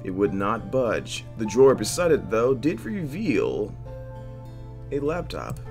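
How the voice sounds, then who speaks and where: A man hosting a game playthrough, reading visual novel narration aloud in an expressive way, stumbling now and then and dropping in joking asides. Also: medium pitch, takes it slowly, soft background music. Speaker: host of a video game playthrough.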